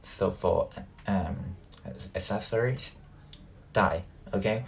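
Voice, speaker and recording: A man who natively speaks Cantonese, giving casual reaction commentary as a video host, haltingly thinking aloud. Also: sits low, creaky.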